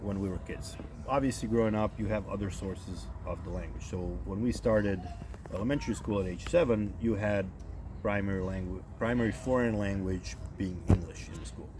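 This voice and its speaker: Deep-voiced man in his thirties, from Croatia. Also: brisk, background noise. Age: 30s. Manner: relaxed, says "you know" and "right?" a lot. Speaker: interviewee. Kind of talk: casual interview answers.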